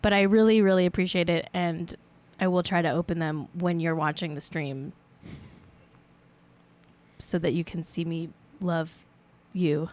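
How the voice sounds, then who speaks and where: A woman's voice: fairly low pitch, steady tone, a little slow, a little mellow. A woman in her late twenties hosting a live stream show, chatting in a rambling, playful, self-mocking way. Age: 20s. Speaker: show host. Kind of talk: casual livestream chat.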